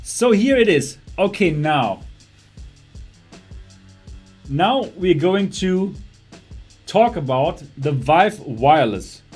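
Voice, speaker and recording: A man's voice: fairly high pitch, expressive, takes it slowly, long pauses, darkish tone, husky, faint music behind. A young man from Germany with a German accent, hosting a casual livestream about VR tech, chatty and laughing often as he reads chat. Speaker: host of a VR livestream show.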